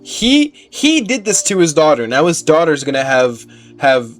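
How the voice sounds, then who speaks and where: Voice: mid-range voice, big pitch swings, normal speed, soft background music. A video game streamer reacting in casual, slangy, conversational commentary.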